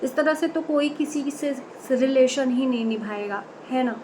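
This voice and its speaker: A woman with a high voice shares her reaction with her followers, earnest and opinionated. She often stops mid-word to correct herself and starts over. A faint background hum sits under her voice. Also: measured pace, a little mellow. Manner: earnest, opinionated, addresses viewers directly; many fillers and self-corrections. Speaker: reaction vlogger. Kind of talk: direct-to-camera commentary.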